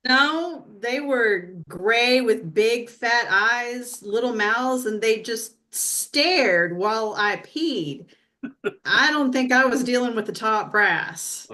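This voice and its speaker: A woman playing a brash, earthy abductee in a comedy sketch read, telling it in a blunt, folksy, deadpan way. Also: fairly high pitch, takes it slowly, heavily acted.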